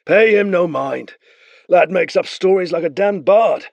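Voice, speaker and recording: Beren, a man doing a gruff, wily warrior. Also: high-pitched, measured pace, darkish tone, dry recording, highs cut off, voice pushed up, heavily acted. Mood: dismissive. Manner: dry, dismissive. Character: gruff, wily male axe-carrying warrior.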